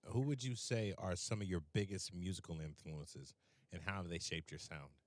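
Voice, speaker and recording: A man's voice: low-pitched, normal speed, dry recording. A man hosting an interview, asking casual, rambling questions with repeats and fillers. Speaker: interview host.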